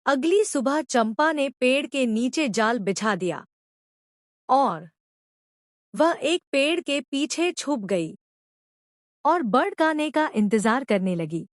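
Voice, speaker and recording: A woman's voice: sits high, lively intonation, slow, long pauses, no echo, studio quality. A woman narrating a scripted moral story for children as a storyteller.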